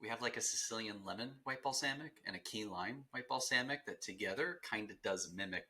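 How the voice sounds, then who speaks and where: Guy podcast cohost, low voice, easygoing casual chat, agrees a lot. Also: a little fast, sharp tone, husky. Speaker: podcast co-host.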